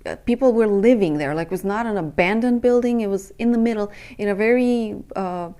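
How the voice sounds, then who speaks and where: Earnest Romanian-born woman speaking haltingly. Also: medium pitch, warm tone. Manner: earnest, halting, with pauses and fillers. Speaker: intimacy and breathwork coach. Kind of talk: personal commentary monologue.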